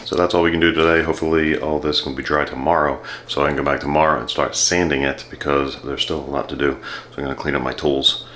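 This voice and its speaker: A man's voice: extremely low pitch, fast. A man in his forties casually explaining a furniture refinishing job step by step while he works in a small room at home, recorded on a handheld phone. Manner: relaxed, plain step-by-step explaining. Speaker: DIY vlogger. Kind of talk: casual how-to narration while working. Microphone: handheld phone camera. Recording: sanding and scraping noise behind.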